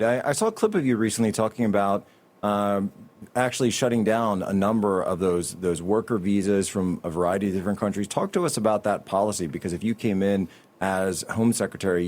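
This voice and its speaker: A man's voice: lowish voice, brisk, a little mellow. A man hosting an interview, agreeing and then prompting his guest with a conversational question. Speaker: interview host.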